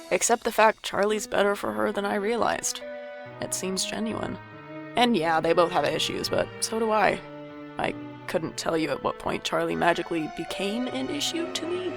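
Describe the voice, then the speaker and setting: Woman playing a gravelly, sardonic older sibling. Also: mid-range voice. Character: wry narrator, sardonic older sibling, guarded but caring. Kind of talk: audio drama narration and dialogue.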